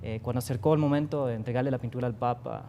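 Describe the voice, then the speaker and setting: A man's voice: mid-range voice, fairly even intonation, rapid-fire, dark tone, muffled recording, a little hiss or hum. A young man with a Costa Rican accent, a guest artist speaking earnestly and reflectively in a TV studio interview, with frequent fillers. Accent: Costa Rican Spanish. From Costa Rica. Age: young adult.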